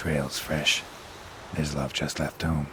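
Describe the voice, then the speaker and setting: A man's voice: very deep voice, little pitch movement, slow pace, background noise. An actor playing a terse, dry middle-aged male monster hunter in video game dialogue, with game music behind. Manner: terse, dry, clipped sentences.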